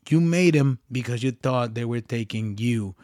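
A man's voice: mid-range voice, normal speed, darkish tone, dry recording, clean studio sound. Casual young man rambling on a podcast. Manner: rambling, frequent restarts and slang. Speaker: podcast host. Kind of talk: casual solo podcast talk.

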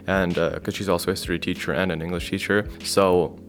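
A man's voice: low-pitched, flat delivery, fast, fairly bright tone, faint music behind. A young man interviewing his teacher, asking polite, casual questions. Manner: polite, asks with "if I may ask". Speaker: student interviewer.

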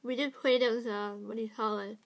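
A woman's voice: a little high, steady tone, a little fast, creaky. A woman hosting a podcast, chatting casually and hesitantly with fillers and giggles. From California, USA. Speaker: podcast host. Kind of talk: casual chat.

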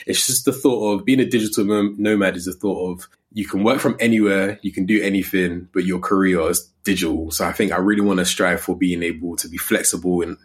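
A young English man in his twenties with a low-pitched voice talks as a podcast guest. His speech is informal and slangy, in the easy style of a casual chat about his own work. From Coventry, England. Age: 20s. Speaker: podcast guest, digital creator.

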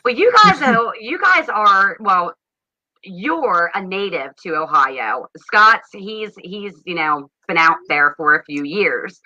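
A woman's voice: medium pitch, lively intonation, slow pace, bright, forceful tone. A woman in her thirties from Pennsylvania with a Pennsylvania accent, co-hosting in casual, laughing chat. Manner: chatty, laughs often, repeats words. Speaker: podcast co-host. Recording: dull lo-fi audio.

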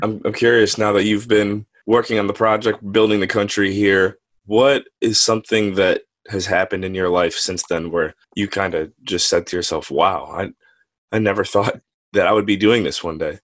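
A man's voice: lowish voice, steady tone, normal speed, fairly bright tone, dry recording. A man hosting a podcast, asking friendly, curious interview questions. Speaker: podcast host.

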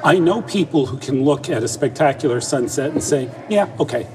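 A man in a casual talk show, making points in a measured way. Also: a little high, lively intonation, background noise. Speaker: podcast co-host. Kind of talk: casual discussion.

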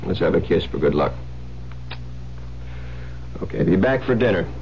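A man playing a breezy, smooth-talking new husband in an old-time radio thriller, teasing and theatrical. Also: sits low, lively intonation, normal speed. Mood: teasing, coaxing. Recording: muffled old radio recording with noise, hum.

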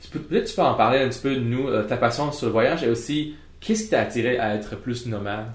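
A man with a Quebec French accent hosting a podcast, asking questions in a halting way and repeating words. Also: medium pitch. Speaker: podcast host. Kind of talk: casual podcast conversation. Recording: echoey room.

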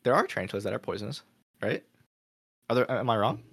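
A man's voice: on the low side, lively intonation, fast, creaky, a bit bright, slightly rough. A young man chatting casually as a co-host, playful and teasing. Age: young adult. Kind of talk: casual chat.

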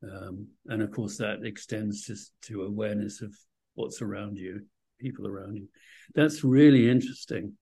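A man's voice: fairly low pitch, steady tone, moderate pace, vocal fry, warm tone, husky. A man hosting a conversational interview, chatty and enthusiastic in his manner. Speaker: interview host.

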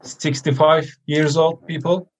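A young man from Turkey speaks English with a Turkish accent as a podcast guest on a video call. He talks quite slowly in a fairly high voice, with hesitant, plain-spoken phrasing. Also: flat delivery. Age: young adult. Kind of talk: casual chat.

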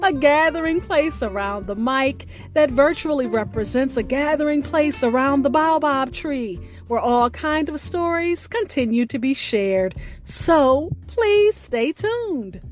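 A woman hosting a radio show, reading a scripted introduction in a deliberate, theatrical way with music behind her. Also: high voice, lively intonation.

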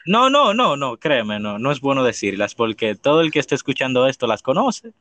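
A man's voice: mid-range voice, sing-song, brisk, dry recording. A man with a Dominican accent hosting a casual podcast chat, playful and teasing. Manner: playful, teasing, laughs loudly. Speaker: podcast host.

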